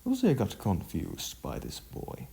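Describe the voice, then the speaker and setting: A man narrating a scripted story in a halting way, stumbling over words. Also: on the low side, wildly swooping pitch, gravelly, warm tone.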